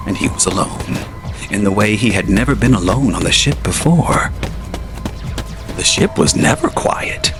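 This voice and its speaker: A man narrating a science fiction audio drama in a slow, measured storytelling manner. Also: lowish voice, vocal fry.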